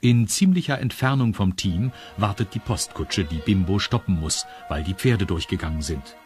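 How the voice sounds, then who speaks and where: A man with a husky voice narrating a scripted children's radio story. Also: deep voice, expressive, normal speed, darkish tone, faint music behind.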